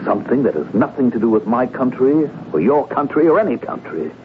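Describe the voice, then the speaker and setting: An actor playing a calm foreign secret agent who speaks formally and measuredly, uneasy underneath, in a 1970s radio drama. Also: male voice, mid-range voice, natural voice. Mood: uneasy, earnest. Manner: measured, enigmatic, formal phrasing. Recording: muffled phone-like old radio recording, hiss.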